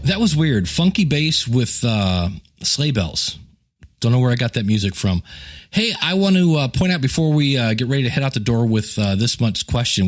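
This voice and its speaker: A man hosting a podcast in a casual, chatty way, rambling into joking, self-deprecating asides. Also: mid-range voice. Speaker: podcast host and podcasting teacher. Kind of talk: casual solo podcast talk with plugs and announcements.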